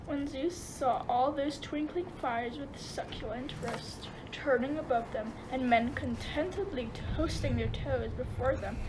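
A woman's voice: high-pitched, unhurried, hardly any pauses, noisy background. A woman giving scripted storytelling narration for a radio play.

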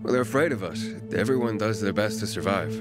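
A man's voice: fairly low pitch, animated, quick pace, lightly acted. A man playing a guarded, easygoing young pilgrim in a post-apocalyptic video game, casual and understated with dry teasing. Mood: earnest, thoughtful. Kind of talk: naturalistic video game dialogue acting. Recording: music under the dialogue.

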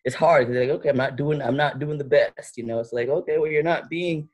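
A woman's voice: low voice, fairly quick, a little mellow. A young woman speaking as a panelist on a video call from her living room. She is thoughtful and often restarts her sentences while she searches for words. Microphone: laptop webcam on a video call.

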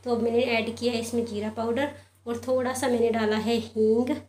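A woman's voice: on the high side, flat delivery, a little fast, a little mellow, roomy sound, slight background noise. A woman hosting a home cooking channel, talking viewers through a recipe in a casual, friendly way. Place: home kitchen.

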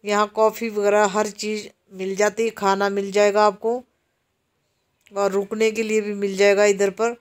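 A woman from Himachal Pradesh narrating a casual walking tour of a busy outdoor market, explaining the shops to viewers as she films while walking. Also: mid-range voice. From Himachal Pradesh, India. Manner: slow, even-toned, explaining to viewers. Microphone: handheld camera while walking.

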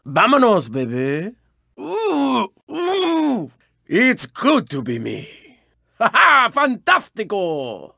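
A man's voice: extremely high pitch, wildly swooping pitch, slow, vocal fry, bright, forceful tone, no room sound, clean studio sound, full character voice. A man voicing a cocky, flamboyant race car in a video game, with a Spanish accent and a boastful, dramatic manner. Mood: boastful, gleeful. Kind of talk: theatrical video game character barks.

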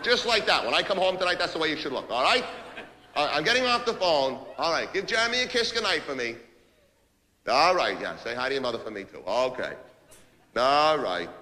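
A man's voice: on the high side, bright, forceful tone, slight background noise. An actor playing an abrasive, self-important New businessman, ranting impatiently in a theatrical comic monologue of phone calls. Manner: impatient, ranting, barking orders and repeating himself. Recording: reverberant hall.

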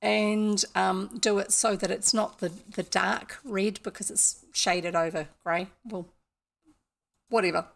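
Casting: older New woman, gravelly edge, to host a casual, rambling livestream chat. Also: medium pitch, fairly even intonation, slow. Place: indoor room, home streaming setup.

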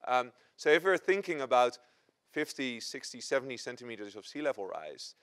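A man, answering on a conference panel with lively gestures and frequent restarts. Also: medium pitch, a little fast. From Netherlands. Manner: animated, gesturing, many restarts. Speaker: panel scientist. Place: conference stage, seated in armchair. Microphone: lapel.

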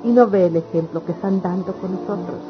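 A woman's voice: mid-range voice, a little fast, music in the background, lightly acted. Actress as a weary country mother, muffled radio drama. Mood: aggrieved, doubtful. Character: embittered, weary country mother of a grown son. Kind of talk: old-fashioned radionovela acting. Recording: dull lo-fi radio serial with noise.

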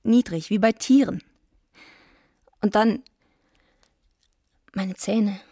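An actress playing a tormented, despairing young woman in a radio drama, speaking in a halting, brooding way. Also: mid-range voice, mellow, no echo, studio quality. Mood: anguished. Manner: halting, brooding, self-loathing.

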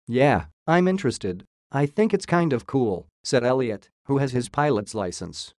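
A man reading a sports news article aloud in an even, steady way, quotes included. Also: medium pitch, no room sound, clean studio sound. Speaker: news reader.

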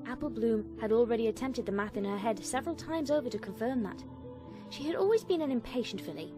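A woman's voice: on the high side, expressive, fast talker, over music. A woman reading a scripted story as a dramatic fan fiction narrator.

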